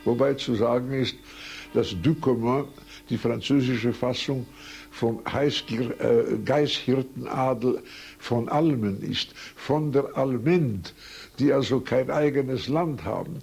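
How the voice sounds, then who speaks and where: Older Swiss man with a gravelly voice. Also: medium pitch, takes it slowly, darkish tone. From Switzerland. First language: French. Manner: rambling, anecdotal, frequent hesitations and self-corrections. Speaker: interviewee. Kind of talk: recorded life-story interview. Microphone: recorded on tape.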